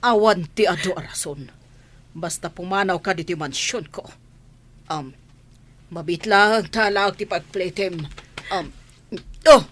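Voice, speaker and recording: An actress with a Filipino accent playing a haughty, cruel mistress of a mansion in a radio drama, imperious and scolding. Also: female voice, lowish voice, unhurried, long pauses, a bit bright. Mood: dismissive.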